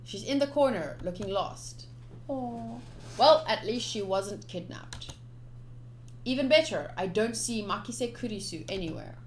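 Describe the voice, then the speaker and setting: A woman streamer playfully reading visual novel text aloud, with casual asides in an expressive delivery. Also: mid-range voice, measured pace, a little hiss or hum. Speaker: game streamer. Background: game music.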